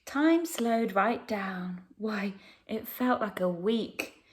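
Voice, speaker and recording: A woman narrating a children's picture book expressively, with dramatic pauses and hushed emphasis. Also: medium pitch, expressive. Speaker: storyteller.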